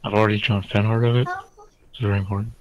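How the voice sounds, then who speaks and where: A man joking casually with friends in a deadpan manner on a noisy, clicking microphone. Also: on the low side, fast talker, lo-fi sound. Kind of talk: casual banter among friends.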